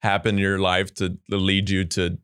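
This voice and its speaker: Brief: male podcast host, lowish voice, dry room, earnest interviewer. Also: completely flat delivery, normal speed, a bit bright, clean tone, no room sound. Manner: earnest, leads the questions. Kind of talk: casual podcast chat.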